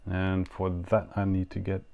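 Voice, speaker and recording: Low, slow-talking German man hosting. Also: completely flat delivery, vocal fry, lo-fi sound. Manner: hesitant, many fillers and restarts, non-native phrasing. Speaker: show host. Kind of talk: casual live-stream hosting.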